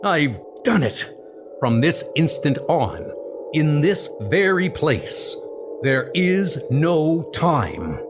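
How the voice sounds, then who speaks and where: A man's voice: fairly high pitch, sing-song, drawn-out words, heavily acted. A man voicing a mighty, noble thunder god hero in a comic book dub, speaking in grave, archaic, formal phrases. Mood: solemn resolve. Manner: grandiose, archaic formal speech. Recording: music under the voice.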